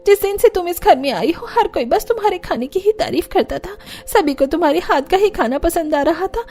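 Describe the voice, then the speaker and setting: A woman's voice: very high voice, lively intonation, a little fast, mellow, voice pushed up. A woman voicing a jealous but remorseful elder daughter-in-law in a cartoon drama, speaking plainly and earnestly. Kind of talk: Hindi cartoon family drama.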